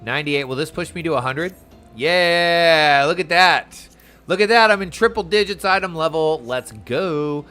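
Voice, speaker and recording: A man's voice: a little high, moderate pace, pushed and bright. A man chatting casually and enthusiastically as he streams a game, with slangy, informal talk. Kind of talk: casual chat while playing a game. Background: video game music.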